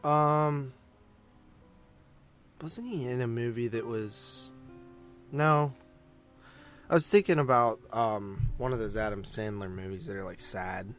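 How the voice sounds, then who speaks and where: A man co-hosting a movie podcast, talking casually in a halting, noncommittal way. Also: medium pitch, a bit bright, soft background music.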